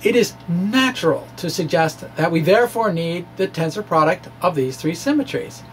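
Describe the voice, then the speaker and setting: A man presenting a physics lecture informally, with animated emphasis and a halting delivery full of stammered restarts. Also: high voice, a little hiss or hum. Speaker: amateur physicist presenting his own theory. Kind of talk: informal physics lecture, reading of a blog talk.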